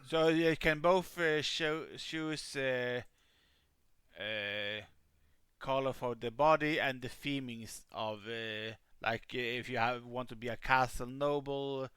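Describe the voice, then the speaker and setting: A man hosting a live stream, helping players make characters and talking in a halting way with many fillers. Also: mid-range voice, smooth, dry recording, studio quality. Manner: says he has a calming voice. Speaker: streamer running a game. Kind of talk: casual live-stream chat.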